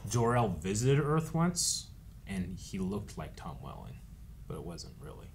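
A man's voice: on the low side, big pitch swings, measured pace, noisy background. A man in his thirties with an American accent, chatting casually as a reaction show host. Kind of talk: casual discussion of a cartoon episode. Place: indoor studio with set shelves.

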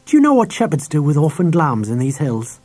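Man playing an emphatic, talkative schoolmaster, pitched up. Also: wildly swooping pitch, fairly quick, dark tone, husky, full character voice. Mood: kindly enthusiastic. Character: kindly, talkative middle-aged male schoolmaster, opinionated and brisk.

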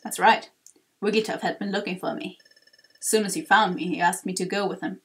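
Woman putting on a low, terse gecko hero. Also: fairly even intonation, a little fast, natural voice. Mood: calm, matter-of-fact. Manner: low, terse, matter-of-fact. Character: cool, serious male gecko creature, terse adventurer.

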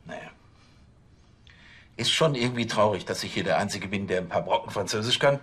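An actor playing a pompous, easily exasperated police chief in a TV crime comedy. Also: male voice, on the low side, a little fast, fairly bright tone, breathy edge. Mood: smug. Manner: pompous, theatrical.

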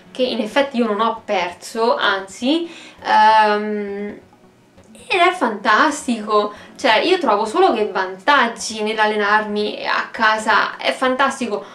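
A woman's voice: on the high side, fairly bright tone. A woman in her thirties chatting casually and emphatically to camera as a vlogger, recorded in a room at home. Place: small room at home, indoors. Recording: roomy, echoey home recording.

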